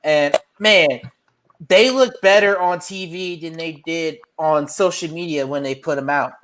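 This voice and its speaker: A man's voice: fairly high pitch, unhurried, background noise. A man hosting a sports podcast, chatting casually and with slang about football and basketball with friends. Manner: laid-back, slangy. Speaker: podcast host.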